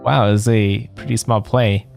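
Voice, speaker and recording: A man gives casual let's play commentary in a lowish voice. He muses and asks questions aloud as he goes, with light game music in the background. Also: steady tone, unhurried, creaky. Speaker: game streamer.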